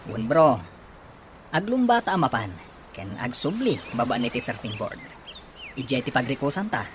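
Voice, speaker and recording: A man's voice: medium pitch, very animated, moderate pace, slight background noise, close to an everyday voice. A man acting an easygoing young friend in an Ilocano radio drama, with an Ilocano accent. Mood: playful.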